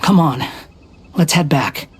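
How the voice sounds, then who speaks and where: A slow, brooding young traveler. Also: male voice, fairly high pitch, lively intonation, husky, heavily acted. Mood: pensive, uneasy. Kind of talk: scripted video game dialogue.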